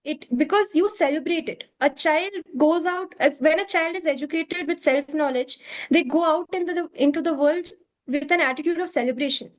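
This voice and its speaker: High-voiced Indian woman explaining earnestly. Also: normal speed. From South India. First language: Hindi. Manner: earnest, repeats and restates points. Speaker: curriculum developer, interview guest. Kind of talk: explanatory interview answers.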